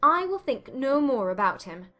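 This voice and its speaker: A woman's voice: high voice, sing-song, normal speed, bright, forceful tone, faint music behind, voice pushed up, full character voice. A woman voicing a proud, vexed and lovelorn young lady, speaking her thoughts dramatically in a period novel audiobook. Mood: vexed, hurt. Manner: dramatic, exclaiming inner monologue.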